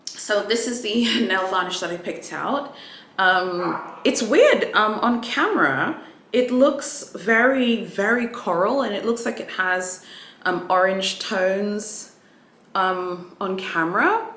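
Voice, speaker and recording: Bright, chatty woman vlogger. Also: medium pitch, roomy sound. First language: Swahili. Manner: chatty, rambling, with frequent restarts and fillers. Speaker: luxury unboxing vlogger. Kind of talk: casual chatty unboxing vlog. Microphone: ring light setup, camera mic.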